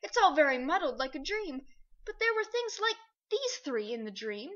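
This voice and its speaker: A woman reading aloud, voicing a gentle, bewildered old male cab horse who speaks slowly and thoughtfully. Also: sits high, big pitch swings. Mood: musing. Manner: slow, thoughtful, musing. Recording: dull lo-fi recording.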